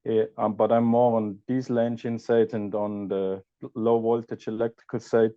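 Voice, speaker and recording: A man from Austria with an Austrian accent, a podcast guest chatting casually and pausing to search for words. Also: lowish voice, little pitch movement, dark tone, no echo, highs cut off. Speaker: guest, EV content creator. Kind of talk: casual interview chat.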